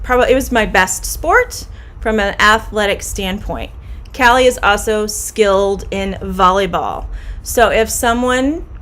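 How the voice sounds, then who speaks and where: A woman's voice: medium pitch, a bit bright. Middle-aged Midwestern woman as an English teacher: friendly, explains patiently. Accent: Midwestern American. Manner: friendly, explains slowly and repeats phrases. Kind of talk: live-streamed idiom lesson.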